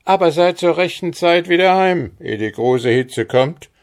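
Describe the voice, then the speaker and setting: Man doing a sleepy gruff old farmer. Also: on the high side, lively intonation, measured pace, voice pushed up. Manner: sleepy, drawling.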